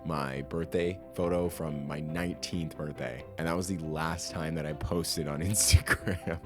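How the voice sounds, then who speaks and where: Low-voiced young man, chatty and candid. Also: fairly even intonation, a little slow, music in the background. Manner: candid, chatty, self-deprecating. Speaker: vlogger answering yearly self-interview questions. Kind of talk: casual self-interview.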